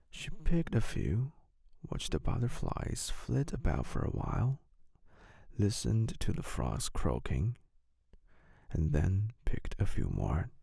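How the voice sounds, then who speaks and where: A man narrating a bedtime story as a storyteller, expressive and deliberate. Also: sits low, gravelly, slightly rough, dry recording, clean studio sound.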